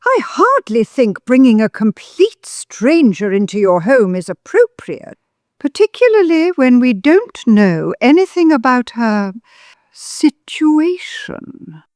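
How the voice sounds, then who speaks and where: A woman's voice: high-pitched, sing-song, slow, darkish tone, no echo, clean studio sound, heavily acted. A woman playing a haughty, disapproving older mother-in-law in an audio drama, pompous and full of righteous insinuation. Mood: disdainful, insinuating.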